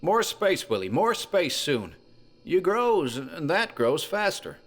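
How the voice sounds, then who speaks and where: A man's voice: a little high, very animated, slow, a bit bright, full character voice. An actor playing a dying old country grandfather, sinister and knowing, with a wheezy voice and rustic dialect. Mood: urgent, wheezing. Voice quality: wheezy, labored. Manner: rustic dialect, cryptic warnings.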